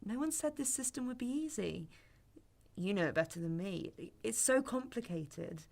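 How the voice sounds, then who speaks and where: A woman's voice: mid-range voice, lively intonation, normal speed, creaky, darkish tone. A young woman playing a blunt, guarded sceptic on a first date in a naturalistic sci-fi audio drama, dry and matter-of-fact. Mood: placating.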